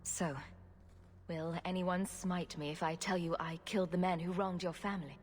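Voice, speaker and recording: A woman's voice: fairly low pitch, fairly even intonation, normal speed, lightly acted. A woman playing a self-assured adventurer in a fantasy video game, with a dry, flippant way of talking. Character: wry, self-assured female adventurer for hire. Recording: music playing behind the voice.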